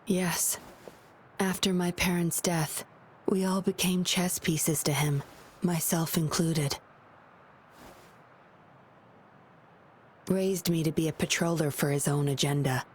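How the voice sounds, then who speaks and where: A woman's voice: fairly low pitch, steady tone, a little slow, a little mellow, lightly acted. A woman voicing a guarded, composed video game patroller with a wry, lonely streak. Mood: melancholy, reflective. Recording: clear ambient noise behind voice.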